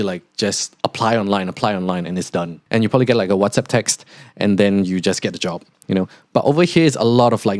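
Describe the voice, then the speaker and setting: Young male podcast host, Singaporean accent softened toward neutral, low voice, loose filler-heavy delivery. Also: dry recording, studio quality. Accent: Singaporean, partly neutralized toward Australian. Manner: rambling, lots of "like" fillers. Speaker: podcast host, international student. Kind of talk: casual podcast monologue.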